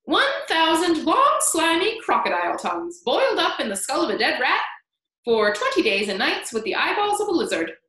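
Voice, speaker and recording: A woman voicing a strange, excitable old man in a storybook reading, in an echoey room. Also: fairly high pitch, normal speed. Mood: urgent. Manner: hushed and then wildly urgent.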